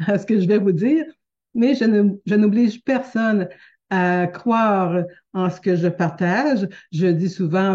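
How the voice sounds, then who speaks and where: Québécois woman teacher, mellow voice, halting delivery with lots of fillers, lo-fi webinar mic. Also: medium pitch. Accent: Québécois French. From Quebec, Canada. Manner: hesitant, many fillers and restarts, gentle and earnest. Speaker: teacher hosting a live webinar. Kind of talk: unscripted live webinar talk. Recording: dull lo-fi webinar audio.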